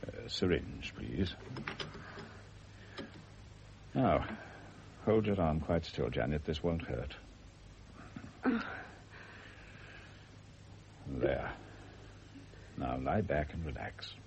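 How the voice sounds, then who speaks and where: An actor playing a calm, probing doctor in a radio play, speaking in a measured, authoritative way. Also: male voice, a bass voice, full character voice. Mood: calm, soothing. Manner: measured, authoritative, gently commanding. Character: calm, probing male doctor questioning a patient. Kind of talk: radio drama acting. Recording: muffled, noisy old radio recording.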